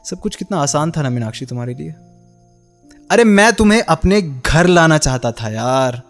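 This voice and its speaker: A man's voice: a little high, lively intonation, moderate pace, soft background music. An actor playing a bitter, heartbroken young man in a radio drama, sarcastic and accusing as he confronts the woman he loved. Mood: bitter, wounded. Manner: sarcastic, accusing, with pointed rhetorical questions. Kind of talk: Hindi radio play acting.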